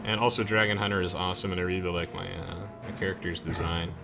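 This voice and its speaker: A man's voice: deep voice, fairly even intonation, quick pace, creaky, over music. A young man giving casual, chatty, enthusiastic game commentary as host. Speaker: host of a let's play.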